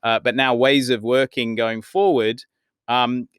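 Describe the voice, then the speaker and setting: A man's voice: medium pitch, steady tone, a little slow, smooth, no room sound, studio quality. A man hosting a podcast who asks long, rambling questions and repeats words as he frames them. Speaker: podcast host. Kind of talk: interview questions. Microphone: video podcast mic.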